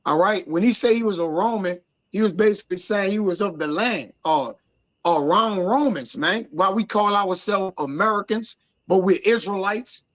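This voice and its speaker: High-voiced man teaching Bible, muffled audio. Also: normal speed, fairly bright tone. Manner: colloquial, prompts listeners with questions. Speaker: Bible study elder. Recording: dull lo-fi audio.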